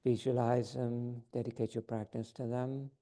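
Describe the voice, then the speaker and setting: A man teaching a yoga class, giving calm step-by-step posture and breathing cues while he is losing his voice. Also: medium pitch, moderate pace. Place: yoga studio.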